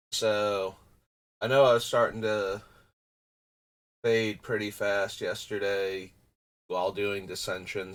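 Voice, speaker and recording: A man with a nasal, stuffy voice from a runny nose, thinking aloud in a rambling, casual way while livestreaming. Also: low voice. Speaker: streamer building a card game deck. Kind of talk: casual live-stream talk.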